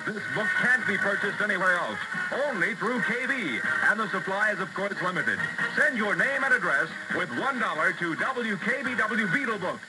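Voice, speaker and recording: Male 1960s pop radio jock, high voice, comic and excitable, old aircheck sound with loud music bed. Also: moderate pace, low-quality recording. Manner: excitable, comic complaining, hammy. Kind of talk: 1960s Top 40 radio DJ patter.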